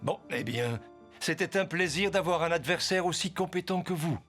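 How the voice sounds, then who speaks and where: Man as a courteous, expressive executive, slightly high. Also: moderate pace, over music, natural voice. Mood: composed. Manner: polite, formal. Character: courteous, dignified male executive, earnest and calm. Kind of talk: animated cartoon dub.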